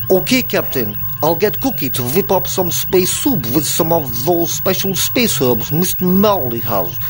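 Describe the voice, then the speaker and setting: Guy doing an earnest nerdy starship officer, highish, very up and down, music under it. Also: sing-song, unhurried. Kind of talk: comedy radio play acting. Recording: music behind the voice.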